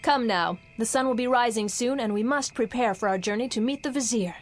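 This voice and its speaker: An actress playing a resolute rebel leader in a video game, speaking in an assured, declamatory way. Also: female voice, fairly high pitch, a little fast, lightly acted. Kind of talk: video game cutscene acting.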